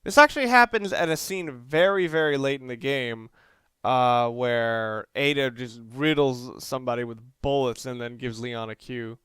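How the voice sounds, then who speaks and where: A man's voice: mid-range voice, normal speed, a bit bright, smooth, no echo. A man giving casual, hesitant video game commentary with wry, self-deprecating asides. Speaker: video game let's player. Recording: game music and gunfire behind. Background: game music, gunfire.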